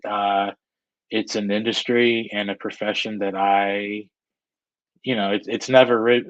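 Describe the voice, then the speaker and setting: A man in his thirties with an American accent and a lowish voice talks casually as an interview guest. He is hesitant and candid, thinking as he goes. The sound is close and dry but a little dull and lo-fi. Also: no echo. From Georgia, United States. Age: 30s. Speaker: guest, freelance screenwriter and director.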